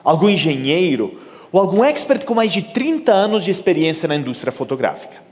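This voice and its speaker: Italian-accented man, keynote speaker, higher voice, lively swings, long hall reverb. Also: fairly quick. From Italy. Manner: animated storytelling, acting out quoted dialogue. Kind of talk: conference keynote. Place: large conference hall.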